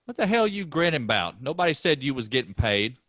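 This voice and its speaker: A man reading the part of a gruff, scornful middle-aged farmer father, quick to anger. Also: medium pitch, sing-song, bright, forceful tone, no echo. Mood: angry. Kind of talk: staged play reading.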